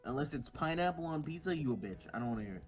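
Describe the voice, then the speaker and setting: A man's voice: fairly high pitch, expressive, racing, breathy edge, faint music behind. A man chatting casually as a co-host, commenting on a visual novel with blunt, sweary banter.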